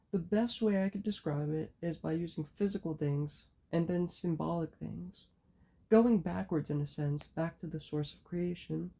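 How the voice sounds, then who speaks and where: A woman with a raspy, hoarse voice from a cold, giving a measured spoken lecture as host of her own channel. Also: low-pitched, dark tone. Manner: measured, explanatory delivery.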